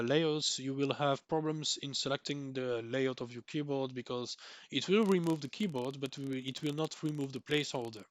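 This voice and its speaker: Young Belgian male, Dutch first language, rambling tutorial voice-over, mid pitch, dry mic. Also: dry recording. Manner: rambling, repeats words for emphasis, frequent ums and restarts. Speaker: tech tutorial creator. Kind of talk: screen-recorded software tutorial.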